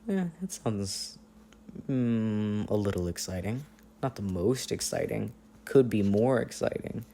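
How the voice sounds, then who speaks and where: A man playing an affectionate, playful boyfriend on a long-distance phone call, in intimate, casual ASMR roleplay with gentle teasing and hesitant pauses. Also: fairly low pitch, lively intonation, gravelly, a little hiss or hum. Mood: affectionate, relaxed. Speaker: audio roleplay performer.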